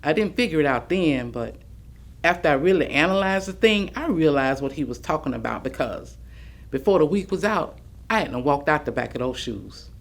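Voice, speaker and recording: A woman's voice: low voice, expressive, moderate pace. A woman playing an elderly, plainspoken grandmother in a staged reading, reflecting on her life. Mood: animated, wry. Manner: storytelling, folksy. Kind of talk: dramatic staged reading of oral history.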